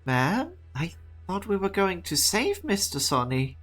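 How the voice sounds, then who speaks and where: A woman's voice: lowish voice, sing-song, measured pace. A woman voicing a timid young woman who stammers. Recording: faint music underneath.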